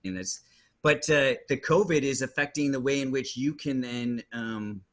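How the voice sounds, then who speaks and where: A male podcast host with a bright, forward tone. He leads the conversation casually, his questions meandering with fillers and restarts. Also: mid-range voice, normal speed. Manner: rambling questions with many fillers.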